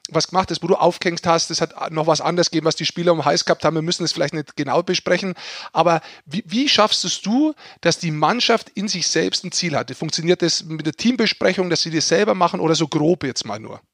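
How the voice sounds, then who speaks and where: Higher-pitched man, informal podcast co-host. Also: fast talker, fairly bright tone, dry recording, studio quality. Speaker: podcast co-host, former player. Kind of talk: casual interview questioning.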